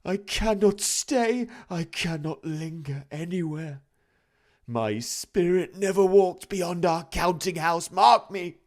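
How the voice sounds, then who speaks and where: A man voicing a tormented male ghost in chains, howling his words in a drawn-out, mournful way. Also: high-pitched, a little mellow, voice pushed up. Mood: wailing.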